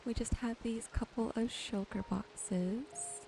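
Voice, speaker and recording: A woman softly and gently narrating her gameplay in an ASMR style, musing to herself as she goes. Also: a little high, fairly even intonation, takes it slowly, creaky, background noise. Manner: soft-spoken, gentle musing to herself while sorting. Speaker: gaming video host.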